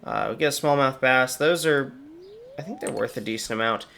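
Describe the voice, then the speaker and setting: A man's voice: medium pitch, huge pitch swings, fairly quick, bright, forceful tone. A young man with a slightly sore voice, chatting casually through game commentary with wry asides. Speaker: gaming channel host.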